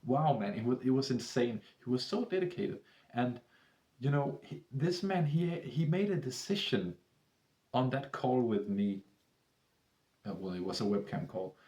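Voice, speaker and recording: A man with a Danish accent, a tutor speaking English as a second language and pitching one-on-one lessons to camera in a rambling, salesy way full of restarts. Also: animated, unhurried, mellow, roomy sound. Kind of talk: persuasive talk to camera.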